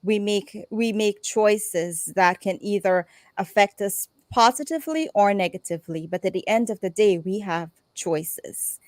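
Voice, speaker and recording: A middle-aged woman hosts a live online show in an effusive, heartfelt way. Her voice sits at an ordinary pitch. The recording is dry, with no room echo. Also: unhurried. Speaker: talk show host.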